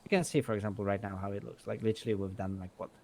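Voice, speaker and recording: A man's voice: lowish voice, steady tone, racing, vocal fry, darkish tone, slight background noise. A man playing a blunt, foul-mouthed male mechanic and shop owner, talking in a rambling, emphatic way in improvised game roleplay. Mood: confident, explanatory. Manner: rambling, emphatic, swears freely.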